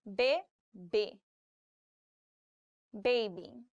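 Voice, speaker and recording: A woman presenting a vocabulary lesson, repeating each word slowly and deliberately. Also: mid-range voice, no echo, muffled recording. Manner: slow, deliberate repetition. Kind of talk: slow word-by-word vocabulary drill.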